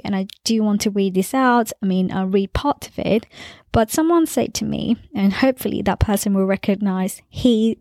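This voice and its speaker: A woman's voice: mid-range voice, a little mellow. A young woman hosting a podcast, chatting in an upbeat, casual way with frequent restarts. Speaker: podcast host.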